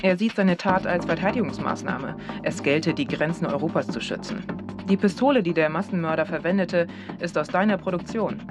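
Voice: mid-range voice, brisk, natural voice. Radio drama: actor as sober reporter, mid-range voice, factual delivery, prominent music behind. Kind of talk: scripted radio play report.

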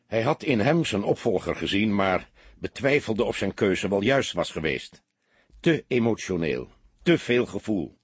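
A man's voice: lowish voice, husky, no room sound. A man reading a detective novel as a dramatic audiobook narrator.

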